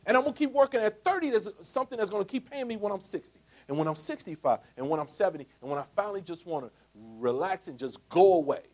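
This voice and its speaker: Man, 40s, fairly high voice with a very lively pitch range, pitching a business opportunity to a room, jokey, throws rhetorical questions at the crowd. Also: quick pace, hardly any pauses. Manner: animated, jokey, asks the audience rhetorical questions. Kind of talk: motivational sales talk to a live audience.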